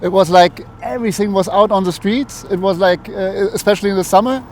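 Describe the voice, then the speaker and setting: A man with a German accent answering casually in a street interview, halting as he searches for words. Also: high voice. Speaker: interviewee, foreign resident. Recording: faint outdoor traffic noise. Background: faint urban street noise.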